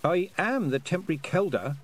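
A man's voice: fairly high pitch, huge pitch swings, normal speed, pitched above the performer's own voice. A man voicing an earnest, stubborn young girl in a storybook, direct and questioning. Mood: questioning, stiff.

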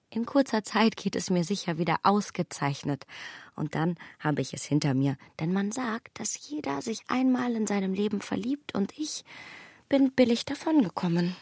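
An actress in a radio play as a self-assured young gentlewoman, speaking a soft, breathy, reflective inner monologue. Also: medium pitch, no room sound, studio quality. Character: self-assured young gentlewoman musing privately on her feelings.

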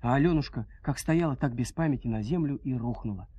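A man narrating a scripted fairy tale in an expressive, dramatic, folksy storytelling manner. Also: medium pitch, brisk, slightly rough, no room sound, dull audio.

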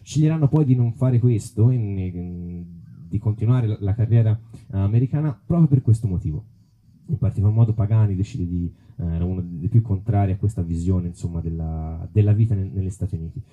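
A man's voice: lowish voice, brisk, phone-quality sound. A man giving an informal public talk as an author, explaining and thinking aloud as he goes. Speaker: author presenting his book on rock music.

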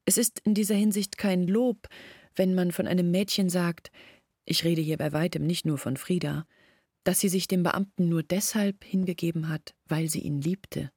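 An actress playing an earnest, bitter village woman in a German radio drama, reasoning insistently and intimately in a husky, creaky voice. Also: fairly low pitch, fairly even intonation, moderate pace, darkish tone, no room sound, clean studio sound. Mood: earnest, persuasive.

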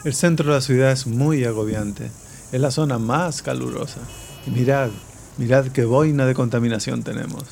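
A man's voice: mid-range voice, fairly even intonation, normal speed, gravelly, darkish tone, a little hiss or hum, close to an everyday voice. A man playing a mysterious, welcoming city local and would-be outlaw hero in an audio drama. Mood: wry. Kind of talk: amateur audio drama acting.

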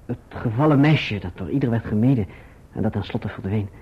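An actor playing an earnest, courteous young gentleman who also narrates his own story in a Dutch radio play. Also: lowish voice, a little fast, breathy edge. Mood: earnest, urgent. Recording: muffled old radio recording.

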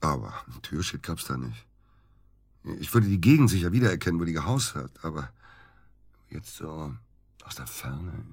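A man acting in a German radio drama as an evasive, dejected doctor under suspicion, husky and halting. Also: rumbling low, expressive, darkish tone, no room sound, clean studio sound, heavily acted. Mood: weary, groping. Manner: halting, hesitant, defensive.

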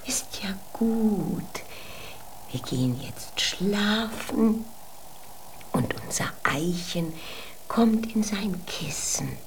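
A woman playing a soothing, practical, protective mother figure in a children's radio drama, talking in a hushed voice that often turns to whispering. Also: medium pitch, animated, extremely slow, slight background noise. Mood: tender. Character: soothing, practical wife called Mama, gently protective.

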